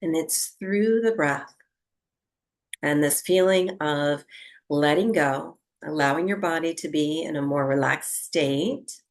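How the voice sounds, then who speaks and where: Slow, creaky-voiced woman guiding relaxation. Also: low voice. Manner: unhurried, gentle instructions with long pauses. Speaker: yoga and breathwork teacher. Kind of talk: guided relaxation and breathwork instruction.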